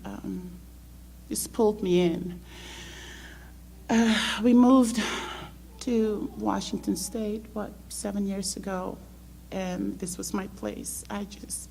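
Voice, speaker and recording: A woman's voice: fairly low pitch, gravelly, warm tone. A middle-aged woman from South America, raised in the Netherlands, giving a hesitant personal testimony with many ums. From born in South America, grew up in the Netherlands. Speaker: church member sharing testimony. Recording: faint background hum.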